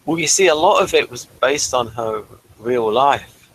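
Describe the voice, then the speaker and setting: A man's voice: medium pitch, animated, unhurried, a bit bright, breathy edge, rough recording. A man co-hosting a casual review chat, speaking in a hesitant, understated way. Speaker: co-host of an episode review show.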